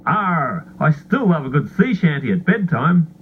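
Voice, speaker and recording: A man's voice: on the high side, very animated, unhurried. A man voicing a friendly, hearty pirate captain in a children's storybook. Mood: hearty, kindly. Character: friendly male pirate captain fond of sea shanties. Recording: tinny phone-like sound, faint hum.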